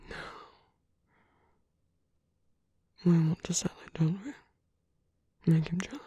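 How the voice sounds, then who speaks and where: A woman performing an ASMR roleplay as a suave, teasing, flirtatious villain, in a breathy, husky half-whisper with slow, coaxing murmurs. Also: medium pitch, expressive, dark tone. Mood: possessive, teasing. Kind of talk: ASMR roleplay, intimate scripted monologue.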